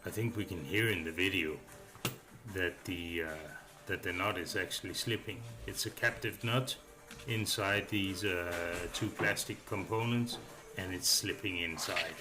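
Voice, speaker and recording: A man's voice: sits low, a little slow. A man giving a casual, unscripted voice-over review as a hobbyist, thinking aloud in a hesitant, rambling way. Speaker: hobbyist reviewer narrating a build. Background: background music.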